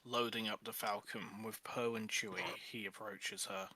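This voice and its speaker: A man's voice: lowish voice, steady tone, moderate pace, bright, forceful tone. A man narrating a script in a casual group reading, often breaking off into jokey asides.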